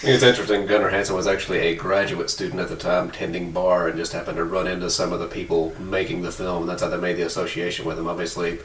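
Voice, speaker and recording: Low-voiced man in a podcast discussion. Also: little pitch movement, fast talker, hardly any pauses, a bit bright, slight background noise. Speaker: podcast co-host. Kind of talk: casual panel discussion.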